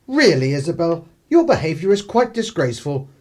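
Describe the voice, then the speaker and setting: A man's voice: fairly high pitch, sing-song, brisk, darkish tone. An actor with an English accent playing a stern, formal middle-aged clergyman father in a 1930s radio play. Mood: stern reproach. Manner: formal, disapproving.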